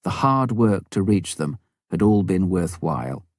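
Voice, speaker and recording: A man reading a missionary story as an audiobook narrator, with a measured storytelling delivery. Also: sits low, very animated, dry recording, studio quality.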